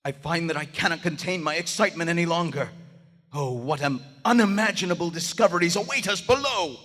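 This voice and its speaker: An actor playing a nervous, loyal gentleman who narrates a horror tale in formal, florid style for a live radio play. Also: fairly high pitch, animated, brisk. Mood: excited, aghast. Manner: formal, florid, dramatic delivery. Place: on stage in a large theater. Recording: live theater hall reverb, music behind. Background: music.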